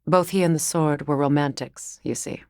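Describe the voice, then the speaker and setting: An actress playing a proud, mature Norse goddess in a video game, measured and formal in speech, wry and guarded. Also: female voice, sits low, darkish tone, no echo, clean studio sound, close to an everyday voice. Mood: reflective. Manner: measured, dignified, formal phrasing. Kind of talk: dramatic game dialogue and storytelling.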